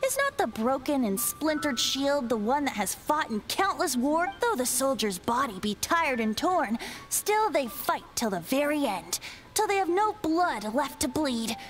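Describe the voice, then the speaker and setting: High-voiced, whimsical young bard boy. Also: big pitch swings, unhurried, soft background music. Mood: grandiose. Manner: theatrical, lyrical, playful. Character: youthful whimsical male bard, playful and poetic. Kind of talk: video game voice acting.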